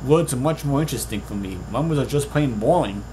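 A man's voice: mid-range voice, animated, fast talker, dark tone, slight background noise, poor audio. Earnest Puerto Rican man, rambling. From Puerto Rico. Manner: rambling, many restarts, earnest. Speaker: author. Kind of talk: casual vlog monologue. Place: small bedroom. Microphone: webcam at desk.

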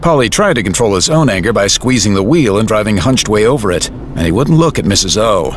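Male narrator for audio drama: lowish register, highly animated storytelling, sound effects faintly behind. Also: a little fast, slight background noise. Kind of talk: dramatic scripted narration, present tense.

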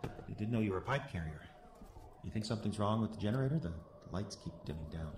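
An actor playing a concerned, curious visitor who asks casual questions in a radio horror drama. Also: male voice, fairly low pitch, lively intonation, fast talker, natural voice. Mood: concerned curiosity. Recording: roomy, clear background noise.

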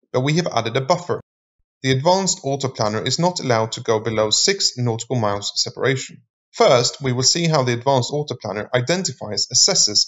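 A man reading scripted explainer narration in a measured, formal manner. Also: medium pitch.

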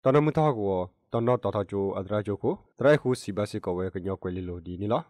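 Male news presenter, lower-pitched voice, close dry studio sound. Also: expressive, fast talker, darkish tone, dry recording. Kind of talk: radio news reading.

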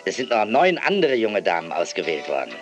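An actor playing a fussy, brusque television editor on the phone in a children's audio drama, stammering and repeating himself. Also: male voice, lowish voice, wildly swooping pitch, brisk. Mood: amused, patronizing. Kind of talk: 1980s German children's audio drama acting. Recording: band-limited telephone line, faint music.

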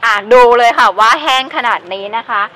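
A woman's voice: fairly high pitch, a little fast, pushed and bright, clean tone. A woman, presenting a product review to camera in a chatty, promotional style. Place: outdoors in a driveway. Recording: outdoor ambient noise. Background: faint outdoor ambience.

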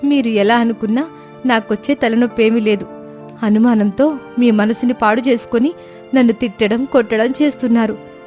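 A woman's voice: fairly high pitch, fairly even intonation, moderate pace, a little mellow, close to an everyday voice. A woman voicing an outspoken, aggrieved sparrow wife in a cartoon moral story, speaking in a plaintive, reproachful way. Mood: defiant, bitter. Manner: plaintive, reproachful, long emotional speeches. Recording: music bed behind voice.

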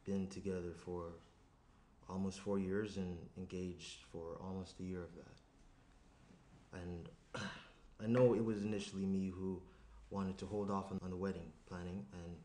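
A young man playing an awkward, earnest professional fiancé at a table read, with a halting delivery. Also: low voice, little pitch movement, warm tone. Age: young adult. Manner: halting, hesitant, circling the point. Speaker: actor reading a lead part. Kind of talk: screenplay table read, naturalistic. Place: small indoor room around a table. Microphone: shared USB tabletop microphone. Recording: roomy echo, clear noise.

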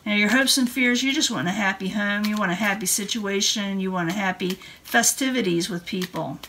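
A woman's voice: mid-range voice, fairly quick, pushed and bright, slight background noise, poor audio. A woman giving a casual tarot card reading in an informal, rambling way. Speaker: tarot reader. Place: indoor room at a table.

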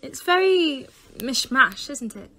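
Young student sidekick, female actor: forthright, dryly questioning, slightly high, crisp bright tone, audio drama. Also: sing-song, slow pace, heavily acted. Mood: curious, teasing. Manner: dry, questioning. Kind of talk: sci-fi audio drama acting.

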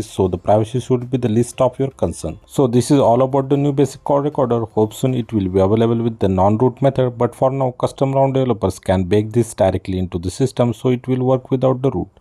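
Male tech channel host, very mellow dark tone, mid-range pitch, scripted how-to read. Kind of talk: scripted tutorial narration.

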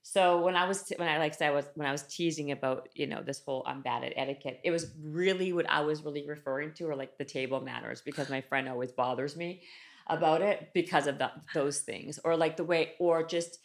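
A woman in her forties from Canada hosting a podcast interview, animated and chatty, restarting sentences with lots of "like", recorded on a boom mic in a studio room. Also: a little fast, vocal fry. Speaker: podcast host. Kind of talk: casual interview chat. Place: studio room with wood-panel wall. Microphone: desk microphone on boom arm.

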